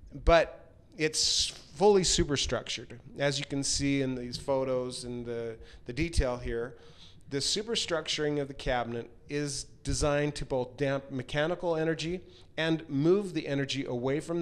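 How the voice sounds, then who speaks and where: Clear-voiced middle-aged man presenting a product. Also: medium pitch. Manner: halting, pausing mid-phrase. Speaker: company presenter explaining a product design. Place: large hard-walled workshop room, indoors. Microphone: handheld microphone held close.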